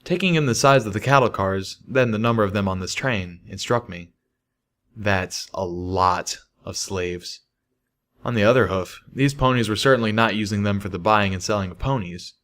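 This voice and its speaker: A man's voice: fairly low pitch, moderate pace, a bit bright. A man reading a first-person fantasy story aloud as scripted narration. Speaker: audiobook reader of fan fiction.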